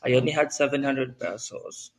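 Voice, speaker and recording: A man in his thirties with a Filipino accent, a guest telling his story in a casual interview, chatty and animated with lots of fillers. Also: medium pitch, normal speed, gravelly, husky. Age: 30s. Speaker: guest, business owner. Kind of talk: casual interview talk mixing English and Tagalog.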